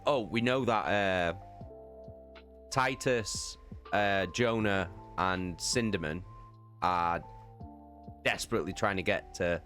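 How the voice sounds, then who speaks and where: Deep, slow-talking man. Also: fairly bright tone. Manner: loose and chatty, with fillers, swearing and laughs. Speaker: livestream host recapping a book. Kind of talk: casual book recap chat.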